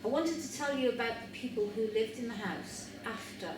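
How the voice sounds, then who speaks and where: A woman's voice: fairly high pitch, fairly quick. A woman giving an informal, explanatory guided tour of a house, speaking with some hesitation. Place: large room inside an old house. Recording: echoey room, distant mic, background noise.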